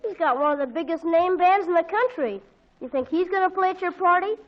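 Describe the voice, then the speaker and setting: A wisecracking teenage girl needles her friend in a high, dry voice. The sound is dull and lo-fi like an old radio show. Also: fairly quick. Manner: sardonic, teasing. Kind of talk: 1940s radio acting.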